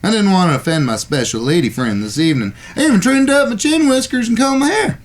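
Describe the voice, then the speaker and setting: A man's voice: sits high, expressive, quick pace. A man playing a crude, hard-drinking American gunslinger with a folksy, cussing drawl in a western audio drama. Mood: pleased, preening. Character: crude, hard-drinking old-west gunslinger sidekick, whiskered, grumbling.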